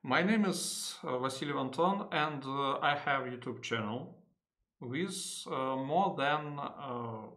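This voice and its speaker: A man with a Russian accent and Russian as his first language, giving an unedited software tutorial in English, halting often with fillers and correcting himself. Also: mid-range voice. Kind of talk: unedited screen-recorded tutorial.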